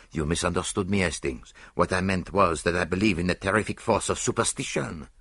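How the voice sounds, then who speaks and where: A man voicing a dapper, self-satisfied little foreign detective in an audiobook, speaking precisely and deliberately. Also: low voice, fairly bright tone, husky, heavily acted. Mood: grave, explanatory.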